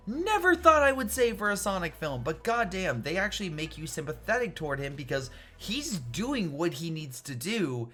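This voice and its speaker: A man's voice: a little high, fairly bright tone. Casual male film reviewer over music. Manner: informal, emphatic, rambling with repeated qualifiers. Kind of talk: casual opinionated video review. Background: background music.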